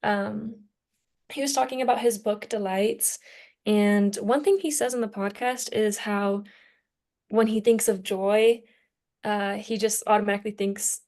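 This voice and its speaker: A young woman with an average-pitched voice chats as a student podcast panelist. She is informal and conversational, often pausing to search for the right word. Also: fairly even intonation, darkish tone. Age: young adult. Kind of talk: casual book discussion. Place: remote internet call.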